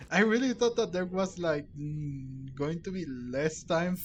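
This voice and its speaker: A man's voice: on the high side, takes it slowly, highs cut off, music in the background. A man giving casual, hesitant commentary as he hosts a gameplay video.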